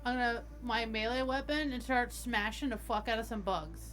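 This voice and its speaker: Higher-voiced woman chatting as a game player. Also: normal speed, a bit bright. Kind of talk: casual chat during game play.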